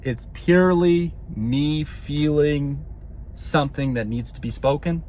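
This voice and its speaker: A man's voice: a little high, slow, darkish tone, a little hiss or hum. A man talking to his viewers in a casual monologue, earnest and rambling, often tagging his sentences with "okay?" and repeating words. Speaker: spiritual vlogger.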